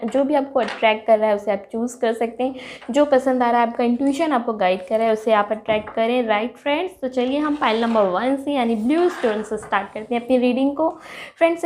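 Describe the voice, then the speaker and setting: A woman hosting a casual tarot reading with a sore throat, clearing her throat often and chatting excitedly to her viewers as friends. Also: on the high side, a little hiss or hum. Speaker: tarot reader, channel host.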